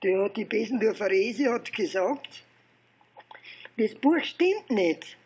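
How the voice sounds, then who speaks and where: An elderly Austrian man recounts his past as if for an oral history interview. His voice is husky and worn rather than clear. He speaks haltingly, circling back and repeating phrases as he goes. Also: sits high, animated, darkish tone. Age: 70s or older. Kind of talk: informal oral history storytelling.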